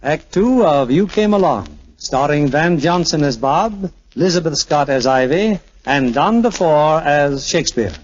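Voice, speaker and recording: A man's voice: a little high, animated, unhurried. A man narrating a scripted 1940s radio drama as its announcer. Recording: muffled 1940s radio, loud music behind.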